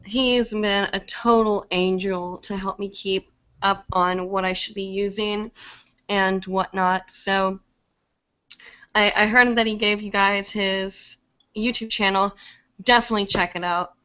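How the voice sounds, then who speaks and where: A woman's voice: medium pitch, steady tone, measured pace, bright, forceful tone, clear, resonant tone, muffled recording. A young woman hosting a live stream, chatty and full of fillers, with a slightly congested voice from a cold. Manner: chatty, many fillers, laughs easily. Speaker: live show host. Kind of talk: casual live-stream chat reading comments.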